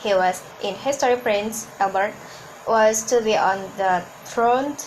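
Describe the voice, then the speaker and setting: A woman's voice: mid-range voice, measured pace, a little hiss or hum, low-quality recording. A woman from Hong Kong whose first language is Cantonese, giving an earnest talk on public speaking and often restarting her words. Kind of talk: instructional talk to camera.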